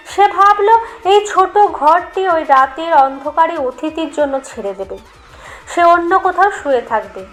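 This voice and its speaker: A woman reading a scripted eerie story as a narrator, in an expressive, measured storytelling manner. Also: high-pitched. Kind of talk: dramatic scripted narration of a horror tale.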